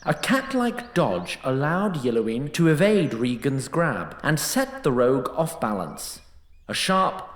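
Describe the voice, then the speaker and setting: Fantasy narrator, male: deliberate and dramatic, highly varied melody, noticeably echoing space. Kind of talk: dramatic scripted storytelling narration.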